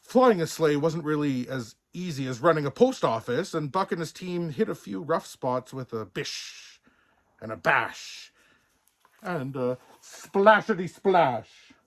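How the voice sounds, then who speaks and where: Animated, unhurried man reading a children's story. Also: on the high side. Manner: playful, theatrical, savouring sound words. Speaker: storybook reader in a Santa persona. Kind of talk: expressive scripted children's story narration.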